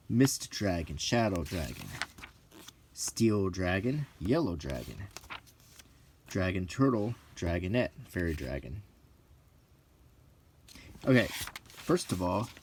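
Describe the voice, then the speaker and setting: A middle-aged man rambling casually in a halting, unhurried way as he browses a book, with dry asides. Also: low voice, a little hiss or hum. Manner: unhurried, halting, dryly joking. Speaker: hobbyist video presenter.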